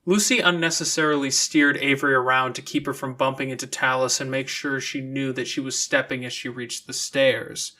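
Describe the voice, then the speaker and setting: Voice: mid-range voice, fairly even intonation, moderate pace, hardly any pauses, sharp tone. An audiobook narrator reading scripted fiction in a storytelling style.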